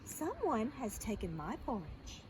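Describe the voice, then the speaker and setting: Storybook mother bear, voiced by a woman: mild, motherly, measured, with an air of dismay. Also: fairly high pitch, wildly swooping pitch, darkish tone, slight background noise, voice pushed down, poor audio. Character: mild motherly mama bear, medium-sized voice.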